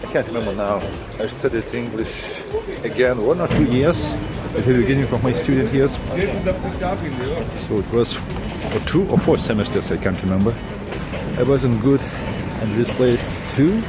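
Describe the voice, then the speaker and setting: Lithuanian man talking over loud music. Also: medium pitch. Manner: halting, searching for words, with repeated restarts. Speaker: vlogger. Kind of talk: casual personal storytelling.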